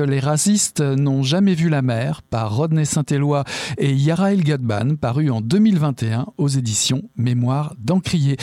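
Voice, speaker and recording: A radio show host, a man, slowly and lyrically reading literary excerpts aloud. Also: fairly high pitch, no room sound, studio quality. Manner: slow, measured, lyrical reading. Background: soft music.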